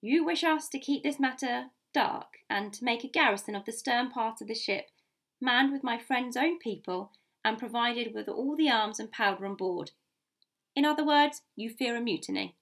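A woman voicing a composed, shrewd gentleman doctor in an audiobook, speaking in a measured, courteous, articulate way. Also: a little high. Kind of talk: audiobook character voice.

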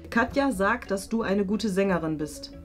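A woman's voice: medium pitch, normal speed, over music. A young adult woman giving short, playful instructions to performers from an indoor studio. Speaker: off-table prompter giving instructions. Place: indoor home studio with desk.

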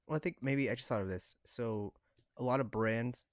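A man's voice: medium pitch, normal speed, dry recording, studio quality. A man co-hosting a podcast in casual discussion, thinking aloud in a tentative way. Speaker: podcast co-host.

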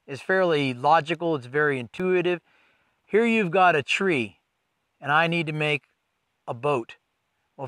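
A man teaches outdoors in a forest, folksy and enthusiastic as he explains. His voice sits a little high for a man, and the sound is close and dry, with no room around it. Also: fairly bright tone, no room sound.

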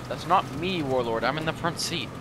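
A man's voice: fairly high pitch, brisk, noisy background. A man joking and teasing his squadmates over game voice chat. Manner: jokey, teasing.

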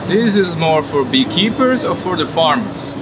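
A man's voice: a little high, sing-song, normal speed, loud music behind the voice. A man interviewing a guest at a trade show booth, mostly brief acknowledgements and short questions.

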